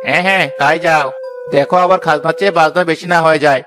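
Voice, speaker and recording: A man's voice: fairly high pitch, fairly even intonation, fast, over music, lightly acted. A man playing a mocking, scornful village neighbour in a cartoon, with a sneering, taunting manner. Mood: dismissive. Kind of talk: cartoon fairy tale dialogue.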